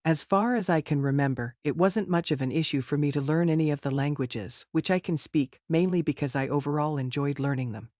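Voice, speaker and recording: Slightly high-voiced guy reading practice exam answers, formal and rehearsed, dead dry sound. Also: darkish tone, no echo. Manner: formal, rehearsed, measured delivery. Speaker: test candidate. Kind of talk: scripted mock speaking-test answers.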